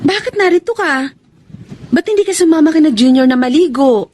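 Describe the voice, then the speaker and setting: An actress in a radio drama playing a warm, doting mother with an affectionate, soothing manner. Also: high voice, expressive, brisk. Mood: loving. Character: warm, tender, doting mother of two boys. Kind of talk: Tagalog radio drama acting.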